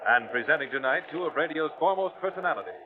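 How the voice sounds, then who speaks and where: Formal, high-pitched radio announcer played by a man. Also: lively intonation, very fast, natural voice. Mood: formal, dramatic. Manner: formal, declamatory. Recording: tinny vintage radio recording, music behind.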